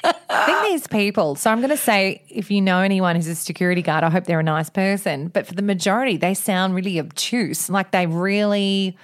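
A woman's voice: mid-range voice, fairly quick. An Australian woman co-hosting a chat podcast, reacting with incredulous, laughing outrage. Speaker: podcast co-host. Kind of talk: casual chat.

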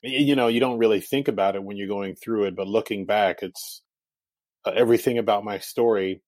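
A man's voice: fairly low pitch, steady tone, fairly quick, darkish tone, no echo. A man in his forties, a native Japanese speaker, a guest in an interview who tells his life story candidly and laughs easily.

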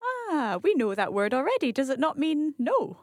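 A woman's voice: high-pitched, sing-song, normal speed, a little mellow, no echo, clean studio sound. Curious Scottish woman learner. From Scotland. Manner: curious, asks follow-up questions, repeats phrases back. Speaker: language learner co-host. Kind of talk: language lesson dialogue.

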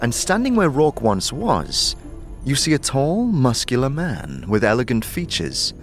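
A man narrating a fantasy audio drama in a measured, dramatic storytelling manner. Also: medium pitch, wildly swooping pitch. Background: background music.

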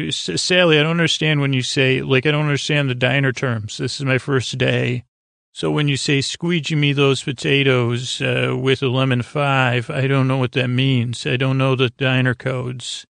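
A man with a gravelly, creaky voice rambling as host of a sleep podcast, halting and stumbling over words as the thought wanders. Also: medium pitch, a bit bright, dry recording, studio quality.

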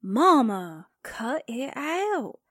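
A woman's voice: high-pitched, sing-song, extremely slow, a bit bright, breathy edge, no room sound, studio quality, full character voice. A woman acting a sassy, slangy, wisecracking small-town newspaperwoman in a 1940s-style radio drama. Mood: sassy teasing.

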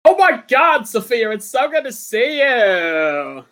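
A man's voice: very high-pitched, sing-song, slow, pushed and bright, exaggerated voice. A man voicing a gushing young female sorority queen, dramatic and easily outraged, in an improvised comedy scene. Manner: gushing, exclamatory.

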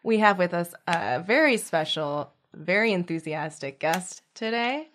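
A chatty woman co-hosting a podcast, laughing often as she talks. Her pitch moves around a lot, rising and dipping between her lines. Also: animated, measured pace, fairly bright tone, a little hiss or hum. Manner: giggly, chatty. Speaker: podcast co-host. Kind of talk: casual chat.

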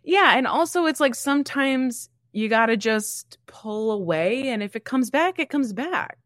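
A woman's voice: fairly high pitch, expressive, a little slow, fairly bright tone, dry recording, studio quality. An American woman in her thirties chatting casually as a podcast co-host, with a blunt, wry way of talking. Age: 30s. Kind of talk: casual chat.

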